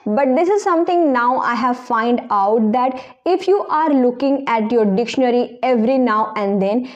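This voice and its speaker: High-voiced woman with a strong Indian accent. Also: a little mellow, dull audio. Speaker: English-learning advice presenter. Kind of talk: personal advice talk to viewers.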